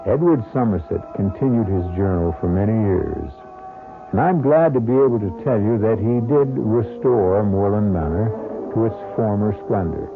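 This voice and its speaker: A man's voice: on the low side, expressive, moderate pace, gravelly. A man hosting and narrating an old radio mystery in a dramatic, scripted storytelling style. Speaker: host and narrator. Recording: narrow-band vintage radio recording. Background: music.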